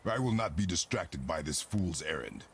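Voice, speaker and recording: Stern game warrior dad: rock-bottom pitch, gravel, terse commands, watery noise behind. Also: male voice, lively intonation, normal speed. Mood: stern, dismissive. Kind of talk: video game character acting.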